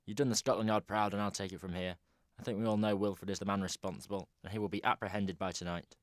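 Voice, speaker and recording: Actor as stern, low-voiced police chief. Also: male voice, fairly even intonation, fast talker, no room sound, studio quality, close to an everyday voice. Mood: stern, insistent. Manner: authoritative, peremptory. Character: stern, commanding male police superior. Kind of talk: radio drama acting.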